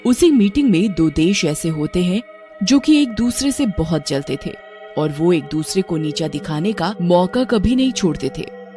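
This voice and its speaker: A woman's voice: lowish voice, animated, moderate pace, dark tone, faint music behind. A woman narrator reading a scripted story.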